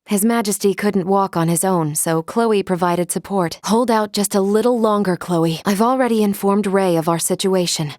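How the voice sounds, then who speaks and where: A woman voicing a calm, logical female android in a video game, speaking in a formal, precise and measured way. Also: medium pitch, no room sound, studio quality, natural voice. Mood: composed, polite. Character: calm, logical female android crew member, polite and analytical. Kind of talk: video game character voice acting.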